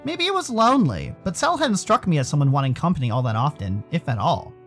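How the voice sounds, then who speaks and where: Animated American man narrating a game. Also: on the high side, quick pace, soft background music. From Arizona, United States. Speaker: streamer reading a visual novel aloud. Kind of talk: animated story narration with casual asides.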